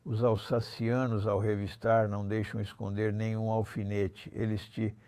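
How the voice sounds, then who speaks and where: A man's voice: lowish voice, level tone, normal speed, mellow, smooth, no room sound. A man in his seventies telling his life story as an interview guest, blunt and colloquial, often ending phrases with little tag questions. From Normandy, France. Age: 70s. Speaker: interview guest, former armed robber. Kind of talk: conversational life-story recounting.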